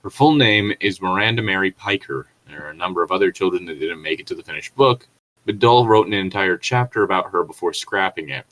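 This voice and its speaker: A man's voice: sits low, brisk, a bit bright, poor audio. A man giving casual commentary and reading aloud as a co-host, in a rambling, incredulous, sweary manner. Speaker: co-host reading and reacting to online horror stories.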